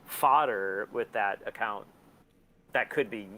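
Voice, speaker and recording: A man chatting casually as an interview guest, self-deprecating and quick to swear. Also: fairly low pitch, pushed and bright, a little hiss or hum, low-quality recording. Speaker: podcast guest, record label and museum owner. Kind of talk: casual chat.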